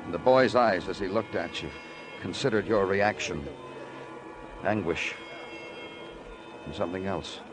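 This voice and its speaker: An actor playing a brooding, sardonic police detective in an old-time radio crime drama, terse in questioning and somber in narration. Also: male voice, deep voice, measured pace, long pauses, over music. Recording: vintage radio recording with musical underscore.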